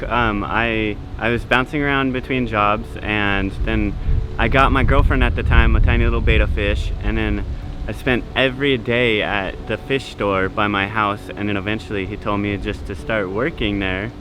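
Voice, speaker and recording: A man answering a street interviewer, relaxed and hesitant, with lots of ums. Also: lowish voice. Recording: noisy street background.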